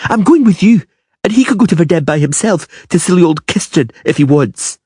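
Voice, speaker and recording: A man doing a shrill, haughty little princess. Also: animated, fairly quick, a little mellow, slightly rough, no echo, clean studio sound. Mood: pleading. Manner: shrill, imperious and petulant.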